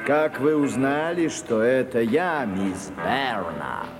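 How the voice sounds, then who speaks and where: An actor playing a stiff, insistent English theatre impresario in a radio play, speaking formally and haltingly. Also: male voice, mid-range voice, expressive. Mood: awkward, evasive. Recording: reverberant hall sound, music behind.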